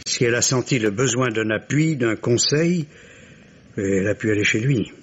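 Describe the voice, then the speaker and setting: An actor playing a middle-aged father and husband in a French radio mystery, solicitous, measured and quietly anxious. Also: mid-range voice, husky, close to an everyday voice. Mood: anxiously reassuring. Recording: dull, noisy vintage radio recording.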